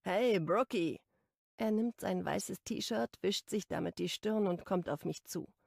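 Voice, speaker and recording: A woman's voice: medium pitch, a little slow, creaky, darkish tone, dry recording, clean studio sound. A woman reading first-person romance fiction as scripted audiobook narration.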